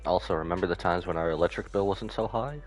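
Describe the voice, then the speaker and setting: A man's voice: deep voice, quick pace, faint music behind. A man giving casual, slangy live game commentary with skeptical asides. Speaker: game streamer.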